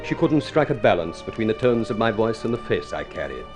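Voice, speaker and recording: An actor playing a homely but smooth-talking suitor in an old radio drama, telling his tale in a flowery, self-satisfied way. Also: fairly low pitch, very animated, fairly quick, music in the background. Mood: reminiscing, pleased. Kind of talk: old-time radio drama acting and narration.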